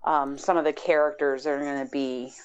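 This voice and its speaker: A woman's voice: low-pitched, little pitch movement, brisk, clear, resonant tone, muffled recording. A woman co-hosting a podcast, chatting casually about games and rambling as she searches for words. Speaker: podcast co-host. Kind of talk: casual gaming discussion.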